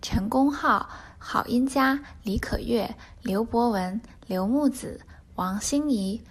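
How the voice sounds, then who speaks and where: A woman hosting a children's broadcast, with bright, coaxing patter and teasing questions for the audience. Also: a little high, takes it slowly, slightly rough. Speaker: program host.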